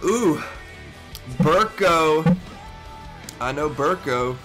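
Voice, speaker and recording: A hoarse young man in his twenties, with his voice nearly gone, giving excitable, swear-filled reaction commentary from a headset in his bedroom. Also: on the high side, extremely slow, soft background music. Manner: excitable, swears often, stammers and laughs. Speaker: YouTuber reacting to a gaming montage. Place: bedroom indoors. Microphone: over-ear headset.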